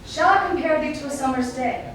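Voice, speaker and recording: A woman's voice: sits high, a little fast, natural voice. A woman playing a wistful, lovelorn male Elizabethan playwright in a formal, declamatory theatrical monologue in archaic English. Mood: poetic, reverent. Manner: declamatory, formal, poetic delivery. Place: stage, live performance. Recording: echoey noisy stage, recorded from far off.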